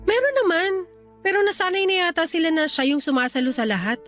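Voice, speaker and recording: A high female voice of an actress as a worn-out, resentful wife in a radio drama. She confides in a plaintive, halting way, with faint music underneath. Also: animated, a bit bright. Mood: resigned. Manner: plaintive, venting, hesitant. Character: weary, resentful young wife confiding in her cousin. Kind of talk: Tagalog radio drama dialogue.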